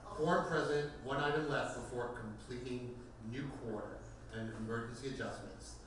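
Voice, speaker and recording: A man's voice: mid-range voice, normal speed, fairly bright tone, natural voice. A man acting in a table read as a smug, theatrical finance executive, mocking, profane and flippant. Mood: smug sarcasm. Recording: roomy, distant mic, faint noise.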